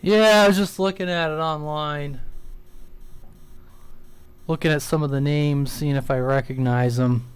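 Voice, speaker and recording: A man's voice: a little high, steady tone, measured pace, pauses often, clean tone, no room sound. A man chatting casually on the radio as a CB operator, in an easygoing, informal way. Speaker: CB radio operator, live stream host.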